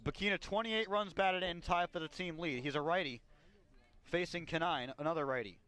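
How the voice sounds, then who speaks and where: High-voiced male baseball play-by-play announcer. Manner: measured, building to excited calls on big plays. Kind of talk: radio sports commentary. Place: outdoor ballpark broadcast.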